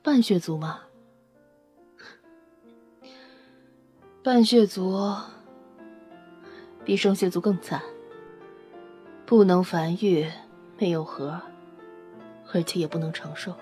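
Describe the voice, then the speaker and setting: An actress playing a solemn, wistful half-blood alien woman in an audio drama, explaining things in a measured, serious way. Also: lowish voice, mellow. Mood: somber, resigned. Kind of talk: Chinese audio drama acting. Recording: music under the voice. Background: background music.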